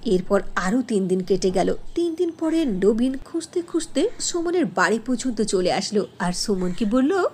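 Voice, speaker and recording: A woman's voice: on the high side, sing-song, normal speed, few pauses, a little mellow, breathy edge. A woman narrator reading a scripted fairy tale for a cartoon.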